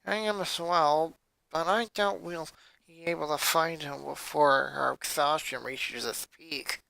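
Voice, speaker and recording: A woman's voice: sits low, unhurried, vocal fry, a bit bright, no room sound, heavily acted, poor audio. A woman voicing a precocious small girl who talks in a formal, pedantic way. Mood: tired, matter-of-fact. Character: precocious, formal four-year-old genius girl.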